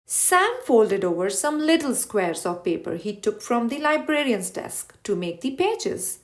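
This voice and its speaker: A woman reading a children's story aloud in an expressive, emphatic way, stretching words for effect. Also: a little high, a little slow. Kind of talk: scripted read-aloud narration for children.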